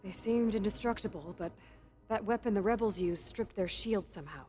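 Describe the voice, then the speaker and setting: A woman's voice: mid-range voice, a little fast, dark tone, faint music behind, close to an everyday voice. An actress voicing an earnest, direct young woman hunter in a video game.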